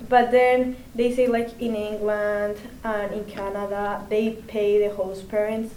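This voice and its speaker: A woman's voice: medium pitch, warm tone. A teenage girl from Spain, a native Spanish speaker, giving casual interview answers as an exchange student. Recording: echoey room, faint noise.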